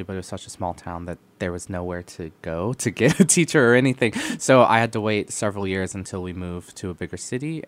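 A young American man with a very deep, smooth voice talks about his music as a radio guest. He is relaxed, laughs easily, and fills his pauses with "um" and "uh". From United States (Arizona). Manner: relaxed, laughs often, many fillers. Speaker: violinist, guest. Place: radio studio.

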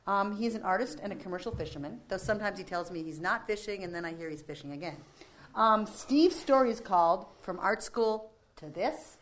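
A woman's voice: medium pitch, animated, brisk, a little hiss or hum. A woman hosting a storytelling event, teasingly introducing the next speaker. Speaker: event host.

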